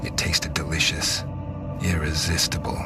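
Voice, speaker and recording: A man's voice: rumbling low, level tone, unhurried, over loud music. An actor playing a brooding, grave male vampire in a video game, in scripted noir style. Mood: brooding, grim. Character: brooding male vampire hunting for life-saving blood. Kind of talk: scripted first-person noir narration and game dialogue.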